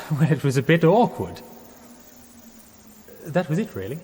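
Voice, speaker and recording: Bumbling, lively posh young Englishman. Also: fairly high pitch, unhurried, slight background noise. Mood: mild, bemused. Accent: upper-class English. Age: young adult. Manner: bumbling, hesitant, mild-mannered. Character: genial, dim, polite upper-class English young man, 1920s. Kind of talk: radio theatre acting. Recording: long echoing reverb, music underneath.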